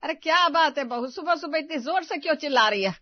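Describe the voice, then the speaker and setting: High-voiced bossy mother-in-law played by a woman. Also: animated, quick pace, dry recording, highs cut off. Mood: scolding. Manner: chiding, emphatic. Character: bossy, scolding, thrifty middle-aged mother-in-law. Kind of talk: dramatised family story.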